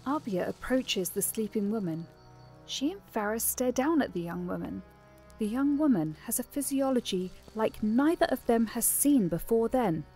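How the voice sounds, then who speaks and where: A woman narrating a fantasy audio drama as a scripted story, in a measured, descriptive manner. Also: fairly high pitch, animated, warm tone, faint music behind. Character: female narrator of a fantasy adventure.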